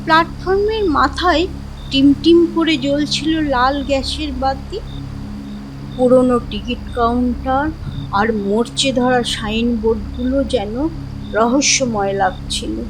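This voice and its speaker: A woman narrating a scripted horror story in a dramatic, measured storytelling manner. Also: high-pitched, noisy background.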